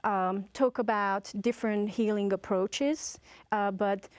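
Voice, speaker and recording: A woman's voice: mid-range voice, fairly even intonation, slow, no room sound. A woman from Hungary with a Hungarian accent, speaking as a guest in a casual interview, hesitant with many fillers and restarts.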